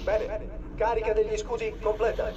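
A man's voice: sits high, monotone, normal speed, a little mellow, lightly acted. A male actor playing an attentive, methodical military systems technician in a video game, speaking with respectful procedural formality. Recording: dull band-limited sound, clearly noisy background.

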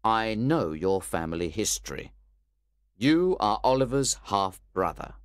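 A man reading an audiobook who voices a calm, stern older gentleman, firm and measured. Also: lowish voice, smooth, no echo, studio quality.

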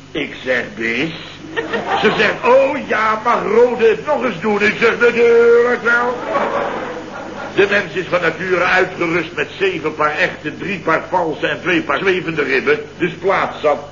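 A man's voice: sits high, takes it slowly. An actor playing a blustering middle-aged father in a late-sixties Dutch radio comedy, telling stories with theatrical indignation and mimicked quotes. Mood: excited, theatrical. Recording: dull, roomy, noisy old radio recording.

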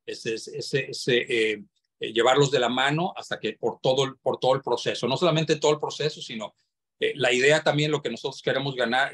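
A man's voice: mid-range voice, a bit bright, husky. A man from Mexico, a developer's representative explaining informally on a video call, often searching for words. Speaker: property developer's representative, webinar guest.

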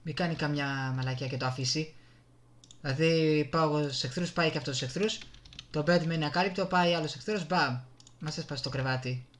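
Bright-voiced Greek man commentating a game. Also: fairly high pitch. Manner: excitable, exclaims and mutters to himself. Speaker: gaming YouTuber. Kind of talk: casual live gameplay commentary. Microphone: desktop gaming microphone.

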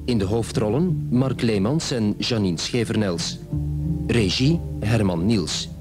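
A man's voice: fairly low pitch, slow. Male radio announcer over prominent music. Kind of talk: scripted radio drama credits.

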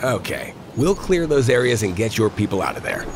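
A man playing a gruff, blunt and sarcastic mercenary squad leader in a video game. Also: mid-range voice, sing-song, a little fast, background noise. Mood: sardonic. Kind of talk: video game character acting.

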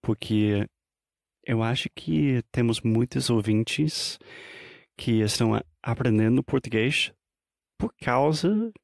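Guy co-hosting a portuguese podcast, slow and steady, keeps asking questions, dry mic. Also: medium pitch, gravelly, dry recording. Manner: asks questions and checks understanding. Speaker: podcast co-host. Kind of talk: casual language-teaching chat.

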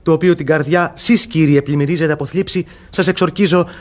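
An actor playing a lovestruck, cunning young gentleman suitor in a radio comedy, speaking in an ornate, plaintive way. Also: a little high, steady tone, close to an everyday voice. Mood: pleading, plaintive. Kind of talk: theatrical radio comedy acting.

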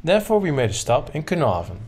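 A man's voice: fairly high pitch, very animated, fast. A man in his thirties narrating a travel vlog. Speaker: travel vlogger.

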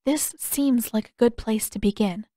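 A woman voicing a polite, dutiful young noblewoman with formal, measured phrasing in an audiobook story. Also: on the high side, warm tone, no room sound, clean studio sound, natural voice. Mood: earnest, gentle.

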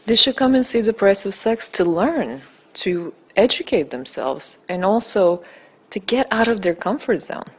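A woman's voice: medium pitch, breathy edge. A woman in her thirties from Bulgaria, a documentary film-maker answering interview questions in an earnest, articulate way, into a handheld mic in a small indoor room. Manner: earnest, articulate, building emphasis. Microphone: handheld mic held by interviewer.

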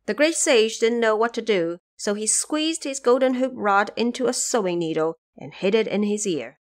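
A woman narrator telling a scripted story for an audio drama in an expressive, measured way. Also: fairly high pitch.

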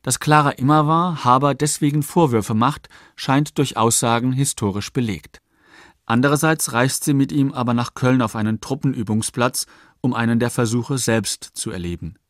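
A man's mid-pitched voice, close and dry in the studio. He narrates a radio feature from a script, factual and measured. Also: no room sound. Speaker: narrator, documentary author. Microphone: close studio microphone.

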